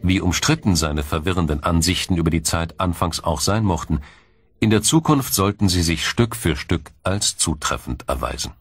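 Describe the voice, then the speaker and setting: A man reading a scripted science documentary in a measured, formal, explanatory manner. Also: sits low, husky, no room sound. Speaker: documentary narrator.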